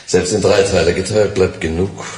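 Crime radio play: cautious, smug master thief played by a male actor, low voice, wryly philosophical, roomy sound. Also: fairly even intonation, normal speed, close to an everyday voice. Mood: businesslike, satisfied. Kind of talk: German crime radio drama acting. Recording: roomy echo, faint background noise.

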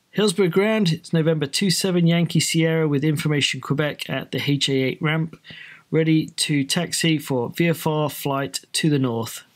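A man with a British accent presents a casual tutorial for trainee pilots. His voice sits a little higher than usual for a man. He explains things informally, step by step. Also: unhurried, long pauses. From Britain.

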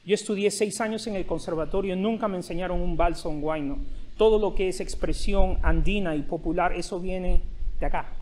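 A middle-aged guitarist speaking passionately and reflectively in an outdoor interview. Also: male voice, high-pitched, fairly quick, noisy background. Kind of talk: interview testimony. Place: outdoor terrace by a park.

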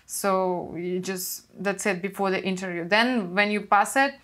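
A young woman from Russia with a Russian accent, chatting as a guest in an interview and telling stories with lively, non-native phrasing, recorded with a lapel mic in a small studio room. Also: mid-range voice, a little slow. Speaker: guest, former cruise ship assistant waiter. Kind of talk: casual interview chat.

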